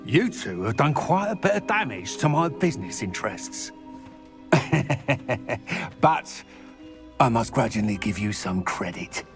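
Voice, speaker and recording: An actor playing a sneering dark wizard crime boss, with a slow, gravelly and mocking delivery. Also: male voice, medium pitch, sing-song, over music. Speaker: villain. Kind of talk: video game voice acting.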